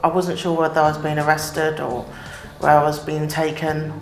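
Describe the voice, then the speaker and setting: A woman describing her experiences in an interview, quiet and subdued. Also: sits low, little pitch movement, moderate pace, reverberant, slight background noise, poor audio. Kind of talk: interview testimony.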